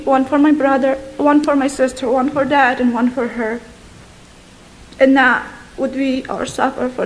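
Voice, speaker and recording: A young woman from Bosnia slowly and earnestly telling her life story as a testimony. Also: sits high, a little mellow, rough recording. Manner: slow, earnest, with long pauses. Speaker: guest giving a testimony. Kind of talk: slow personal testimony.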